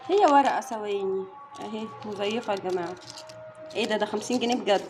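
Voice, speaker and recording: A woman's voice: medium pitch, quick pace, background noise. A young woman with an Egyptian accent, chatting casually to viewers as she unboxes snacks and counts items aloud. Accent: Egyptian Arabic. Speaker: family vlogger and mother. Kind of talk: casual unboxing vlog chat.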